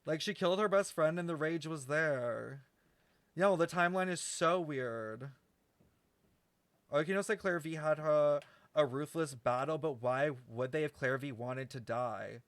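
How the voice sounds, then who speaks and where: A man's voice: on the high side, unhurried, a bit bright, no echo. A man giving casual game stream commentary, rambling as he thinks aloud and swears freely.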